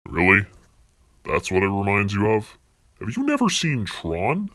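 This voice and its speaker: A man voicing a skeptical, deadpan duelist sidekick in an anime fan production. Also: on the low side, a little slow, no room sound, studio quality, exaggerated voice.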